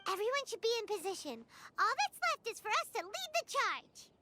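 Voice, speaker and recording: A woman voicing a small, childlike, excitable female fairy companion in a video game, with a squeaky voice and a blunt manner. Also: fairly quick, heavily acted. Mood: eager. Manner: refers to self in third person, blunt. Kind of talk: animated game character acting.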